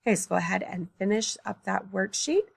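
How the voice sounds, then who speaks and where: A woman teaching a recorded math lesson, working problems aloud, thinking out loud and correcting her own slips. She is tired, early in the morning. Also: medium pitch, expressive, a little fast, creaky, slightly rough.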